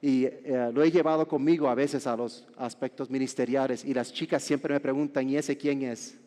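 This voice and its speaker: A Puerto Rican man in his forties, a pastor preaching a sermon with joking asides and halting restarts. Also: medium pitch, steady tone, warm tone. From Puerto Rico. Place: large church hall. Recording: reverberant church hall.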